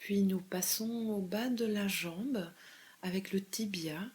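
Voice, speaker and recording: A woman leading a guided meditation as a calm, measured guide, giving gentle step-by-step instructions with pauses. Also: mid-range voice. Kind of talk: guided body scan meditation.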